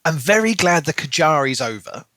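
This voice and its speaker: A man's voice: fairly high pitch, very animated, normal speed, pushed and bright, no room sound. A man co-hosting a book podcast, recapping and discussing a novel. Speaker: podcast co-host. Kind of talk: book discussion podcast, reading a plot recap then casual chat.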